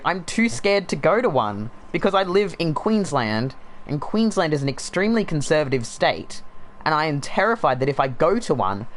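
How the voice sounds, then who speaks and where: A young man with a laid-back Australian accent, chatting casually and sardonically while streaming a game, with lots of fillers. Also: a little high. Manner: rambling, sardonic, frequent fillers. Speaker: gaming streamer.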